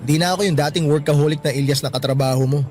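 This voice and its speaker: A Filipino actor playing a friendly, protective young male office worker in a radio drama, expressive and righteous. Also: fairly high pitch, very fast, lightly acted. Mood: friendly, coaxing.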